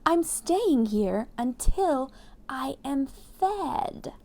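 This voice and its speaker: A woman voicing a stubborn male groundhog from a children's picture book, with drawn-out, declarative lines. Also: sits high, sing-song, warm tone, exaggerated voice. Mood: stubborn, grumpy. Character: stubborn, grumpy male groundhog who warms to fun.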